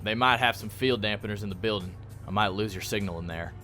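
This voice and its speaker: Wary squad teammate played by a man: slightly low, blunt, everyday delivery, light music beneath. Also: quick pace, pushed and bright. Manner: blunt, casual. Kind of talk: radio play acting.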